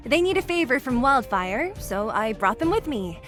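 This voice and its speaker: A woman's voice: on the high side, sing-song, normal speed. A woman voicing a tough young underground fighter in a video game, brusque and matter-of-fact. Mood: brisk, dry. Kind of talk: scripted video game voice acting. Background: game music.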